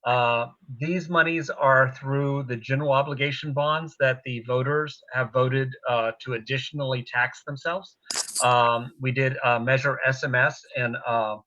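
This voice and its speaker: A man explaining haltingly as an official at a public meeting, on slightly dull call audio. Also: medium pitch, fairly even intonation, pushed and bright. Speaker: school district facilities official.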